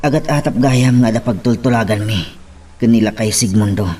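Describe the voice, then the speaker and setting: A man's voice: lowish voice, normal speed, a little mellow, faint music behind, close to an everyday voice. A man playing a troubled, resigned lover in an Ilocano audio drama. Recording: slightly distant from the mic.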